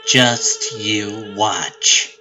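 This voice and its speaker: Comic-dub youth played by a man: earnest, vengeful son, slow plain delivery, loud music behind. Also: on the low side, steady tone. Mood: mournful resolve. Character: earnest, vengeful young son mourning a friend. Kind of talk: dramatic comic dub acting.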